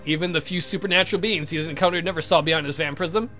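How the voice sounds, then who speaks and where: A woman's voice: deep voice, racing, fairly bright tone, faint music behind. A woman hosting a let's play, reading a visual novel aloud with irreverent, sweary casual asides.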